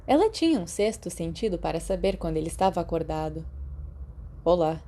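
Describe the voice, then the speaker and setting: A woman's voice: lowish voice, big pitch swings, fast talker, dark tone. A woman with a Brazilian accent reading a scripted story as an audiobook narrator. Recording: clearly noisy background.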